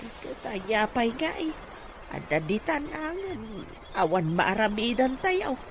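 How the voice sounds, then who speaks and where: A woman's voice: a little high, very animated, a little slow, slight background noise. A woman playing a protective, outspoken older mother in an Ilocano audio drama. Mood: concerned. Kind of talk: Ilocano radio-style drama acting.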